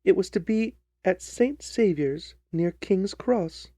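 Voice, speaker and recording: A man's voice: sits high, lively intonation, slow pace, mellow, no room sound, studio quality, heavily acted. A man voicing an earnest, trusting, distressed young jilted bride in a dramatised story reading. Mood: earnest, recounting. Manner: earnest, wordy, pleading.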